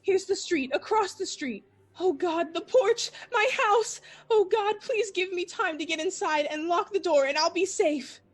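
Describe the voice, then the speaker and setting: A woman's voice: extremely high pitch, measured pace. A woman playing a terrified young woman fleeing home alone at night, talking to herself in breathless, repeated bursts in the style of a 1940s radio play. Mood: panicked, pleading. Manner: breathless, frantic self-talk in short repeated bursts.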